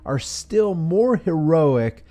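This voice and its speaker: Expressive mellow male narrator. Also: on the high side, very slow. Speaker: commentary channel host. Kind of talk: scripted critical voice-over narration.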